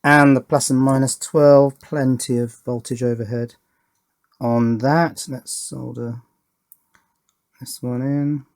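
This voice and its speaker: A man's voice: mid-range voice, little pitch movement, slow. A man giving casual, understated hands-on commentary as he works on a hobby electronics build, often thinking aloud and hesitating. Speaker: hobby electronics video maker.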